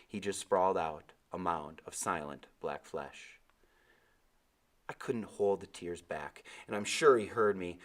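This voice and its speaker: A man with a fairly low voice reads a storybook aloud. He delivers it as scripted narration, in the role of the narrator.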